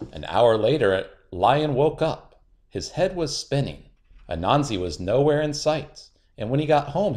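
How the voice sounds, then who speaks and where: A man's voice: medium pitch, darkish tone. A man narrating a children's storybook aloud, expressively and with dramatic emphasis.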